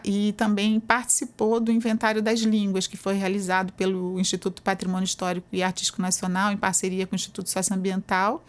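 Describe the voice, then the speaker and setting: A woman professor with a Brazilian Portuguese accent, answering interview questions in an explanatory, conversational way, often adding "né". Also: medium pitch. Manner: explanatory, uses many fillers like "né". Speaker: university professor, guest.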